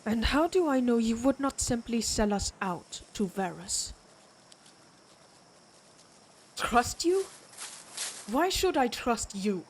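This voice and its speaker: A woman playing a proud, menacing vampire warrior in an audio roleplay, speaking deliberately with an imperious, taunting manner. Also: fairly high pitch, animated, a little hiss or hum, rough recording. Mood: distrustful, stern.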